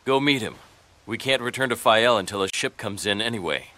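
An actor playing a brisk, teasing young male companion in a fantasy game. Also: lowish voice, animated, sharp tone.

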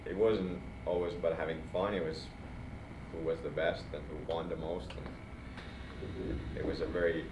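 A man from Finland whose first language is Swedish, speaking with an accent as a guest in a casual podcast interview, with measured, thoughtful explanations. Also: low voice, little pitch movement. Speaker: guest cycling coach. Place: training studio, seated in recovery chairs. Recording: roomy, distant mic, noisy.

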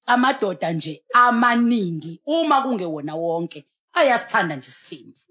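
Woman, South African accent, lively pitch, emphatic, exhorting pastor style, studio talk show, headset mic. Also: fairly quick. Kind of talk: animated opinionated talk, mixing in English.

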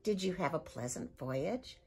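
A woman voicing a kind, polite young Viking boy who speaks softly. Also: on the low side, lively intonation, a little slow, breathy edge, heavily acted. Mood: gentle. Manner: gentle, polite and soft-spoken.

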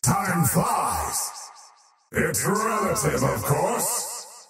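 Drenched in echo, a faceless alien usurper from a video game, cold and menacing. He delivers slow, pompous pronouncements in a haughty tone, his pitch swinging widely. The voice sounds otherworldly and processed. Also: sing-song, vocal fry, over a noisy crowd, heavily acted. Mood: cold, grandiose. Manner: pompous, ominous, clipped theatrical pronouncements. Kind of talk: video game character voice lines. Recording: heavily echoing, distant voice over music.

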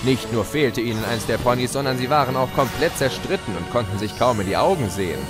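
A man's voice: mid-range voice, lively intonation, brisk, over music, close to an everyday voice. An actor playing a gleeful chaos spirit, a theatrical, mocking villain who narrates his own tale in an audio drama. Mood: smug storytelling.

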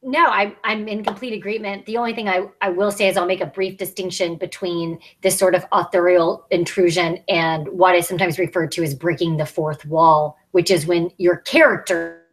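A woman's voice: on the low side, fairly even intonation, moderate pace, few pauses. A woman in her thirties explaining conversationally as a panelist on a video-call podcast. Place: small plain room, indoors. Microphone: earbud headset on video call.

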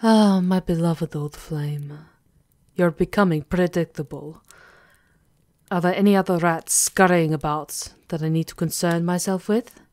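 A woman's voice: fairly low pitch, steady tone, a little slow, a little mellow, no echo, natural voice. A woman playing a commanding aristocratic vampire countess in an ASMR roleplay, with a theatrical, teasing menace. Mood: cold, menacing.

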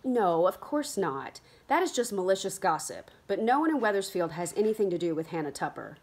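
A woman reading the lines of a serious, cautious middle-aged Puritan aunt who gently admonishes her niece. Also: mid-range voice, big pitch swings, fairly quick, slightly rough.

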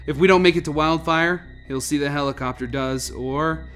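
A man's voice: fairly high pitch, moderate pace, fairly bright tone, faint music behind, natural voice. A man playing a dry, cautious scientist in charge, in a radio drama. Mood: tense, probing.

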